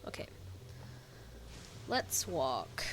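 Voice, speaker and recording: A woman's voice: very slow, a bit bright, a little hiss or hum. A woman gamer doing casual gameplay commentary, informal and offhand, half talking to herself. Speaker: gamer reacting to a story quest.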